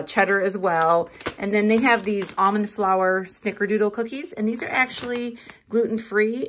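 Older woman, home cook vlogger, chatty step-by-step explainer, mid-pitched, kitchen setting. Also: fairly bright tone, a little hiss or hum. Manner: chatty, explains each step plainly with fillers. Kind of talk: casual cooking vlog.

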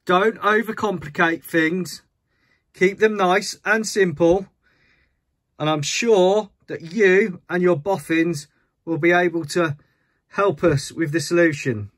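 A man's voice: high-pitched, fairly even intonation, takes it slowly, fairly bright tone, dry recording, studio quality, full character voice. A man playing a blunt army general who likes things simple, speaking emphatically and condescendingly in a toy-soldier story. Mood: blunt, insistent.

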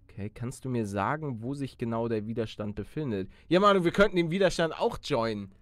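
A young man giving casual, excitable gaming commentary and joking as he chats. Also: mid-range voice, brisk, no room sound. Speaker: let's player.

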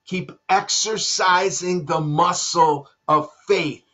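A man in his fifties, a pastor, preaching a sermon from a room at home into a webcam, dropping soft and low for emphasis. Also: slow. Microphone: webcam at desk. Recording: dull lo-fi webcam audio.

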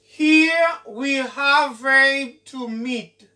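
A young man teaching an English lesson at a whiteboard, slowly repeating each phrase and often checking with "ok?". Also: extremely high pitch, pushed and bright, faint music behind. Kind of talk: classroom lesson. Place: small indoor room at a whiteboard. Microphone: camera mic from a few feet away. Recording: voice from far off the mic.

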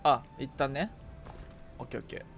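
A man's voice: medium pitch, normal speed, vocal fry, a bit bright. A man chatting casually and playfully as he streams a co-op video game. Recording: game music behind. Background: video game music.

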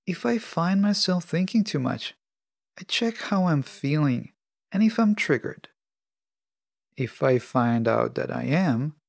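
Man, creaky low-crackle voice, deliberate phrasing, solo podcast monologue, dry close recording. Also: dry recording. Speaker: podcast host.

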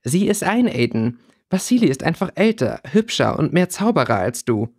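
Man acting teenage girl, raised pitch, haughty, scornful, cool, audiobook character. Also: sing-song, moderate pace, breathy edge, heavily acted. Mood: disdainful. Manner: disdainful, cool.

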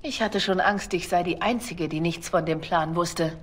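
A woman's voice: lowish voice, moderate pace, close to an everyday voice. An actress voicing a young female spy in a video game, earnest and questioning, loyal but worried. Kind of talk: dramatic video game dialogue.

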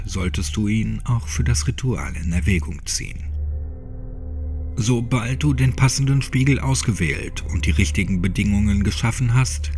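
A man's voice: fairly low pitch, darkish tone. A man narrating a scripted horror story to the listener in a dramatic, suspenseful way, sometimes dropping toward a whisper. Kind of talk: scripted creepypasta audiobook narration, addressing the listener. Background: music under the voice.

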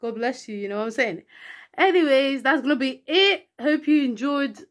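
A young woman speaks close to a handheld phone in her living room. Her voice sits a touch high, and she sounds breathless and low-voiced between sets. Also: lively intonation, moderate pace. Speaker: vlogger. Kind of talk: casual vlog chat.

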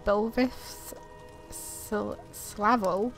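A woman's voice: mid-range voice, steady tone, drawn-out words, soft background music, rough recording. A woman giving casual gameplay commentary, reading dialogue choices aloud and muttering chattily to herself. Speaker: game streamer.

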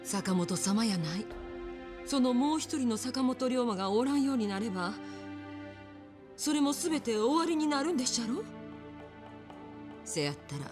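An actress playing a poised, determined innkeeper with a Kyoto accent in a period game drama, genteel, polite and deliberate. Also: female voice, on the high side, sing-song, over music. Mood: earnest pleading.

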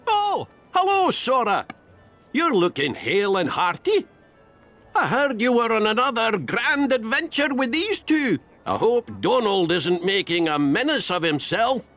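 Old Scottish duck, very high-pitched, lively swooping delivery, hearty, video game character. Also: male voice, a little slow, soft background music, exaggerated voice. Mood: hearty, genial. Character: cheerful old Scottish duck uncle, a rich traveller. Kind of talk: animated video game acting.

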